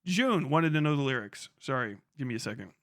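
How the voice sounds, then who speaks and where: A man's voice: mid-range voice, animated, brisk, fairly bright tone, no room sound, studio quality. A young man casually chatting on a livestream, reading chat aloud, swearing freely and laughing often as he rambles.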